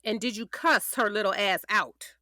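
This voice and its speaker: A woman playing a sharp, protective, sassy defense lawyer who questions frankly and colloquially in an audio drama. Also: medium pitch, normal speed, dry recording, clean studio sound, natural voice. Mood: indignant.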